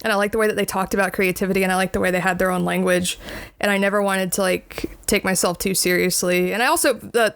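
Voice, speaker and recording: A woman's voice: medium pitch, vocal fry, a bit bright, dry recording. A woman in her thirties, a video essayist, answering interview questions candidly, laughing now and then between points.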